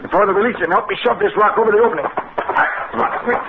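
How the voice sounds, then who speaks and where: Panicky doctor hero for 1950s radio serial: urgent, exclaiming, on a very noisy, tinny recording. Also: male voice, sits high, rapid-fire, creaky, echoey room. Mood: urgent, alarmed. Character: frantic, urgent male doctor leading an escape. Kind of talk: 1950s radio drama acting. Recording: tinny old radio recording, loud noise.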